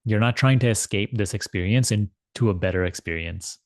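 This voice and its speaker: A man with a fairly low voice leads a guided meditation in a dry, close sound. He is measured and deliberate, often repeating a phrase and leaving space between thoughts. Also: dry recording. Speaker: meditation teacher leading a guided meditation. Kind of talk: guided meditation instruction, spiritual talk.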